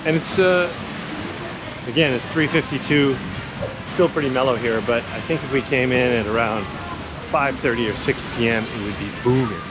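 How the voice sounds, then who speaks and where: Male walking-tour vlogger, mid-pitched, easygoing and chatty, recorded outdoors over clear street and traffic noise. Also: moderate pace. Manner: laid-back, chatty, informal explaining. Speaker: vlog host and walking tour guide. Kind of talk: casual walk-and-talk narration. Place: outdoors on city streets and park while walking. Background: city ambience and cars.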